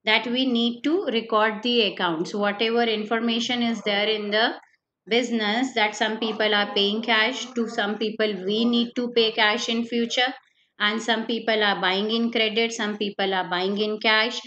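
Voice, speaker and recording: Woman teacher, higher voice, patient and repetitive lecturing, lo-fi online class mic. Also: steady tone, moderate pace, sharp tone. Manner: explains step by step, repeats key points, asks "right?". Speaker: accountancy teacher. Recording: slightly dull lo-fi online class audio with faint noise.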